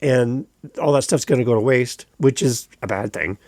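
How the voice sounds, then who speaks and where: A man's voice: mid-range voice, normal speed, darkish tone, no echo. Canadian male podcast host, chatty and rambling, dry close-mic sound, mid-range voice. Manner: chatty and rambling, with light humor. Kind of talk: solo podcast monologue.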